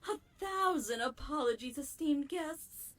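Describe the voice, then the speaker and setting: A woman playing a chipper, theatrical and sweetly polite female ruler in an animated audio drama. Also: high-pitched, measured pace. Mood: apologetic, eager. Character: chipper, gushing female ruler of chaos city and her clones.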